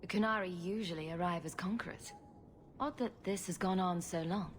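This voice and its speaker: A woman's voice: on the low side, normal speed, slight background noise, lightly acted. A woman playing a witty, self-assured young rogue adventurer in a fantasy game, with a wry, flippant manner. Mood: curious, composed. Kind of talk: video game cutscene acting.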